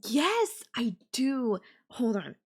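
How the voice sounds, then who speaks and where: A woman playing a possessive, doting young yandere girlfriend in an intimate audio roleplay, speaking coyly and affectionately with lingering pauses. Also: fairly high pitch, very animated, a little mellow, slightly rough, full character voice. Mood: doting, wistful.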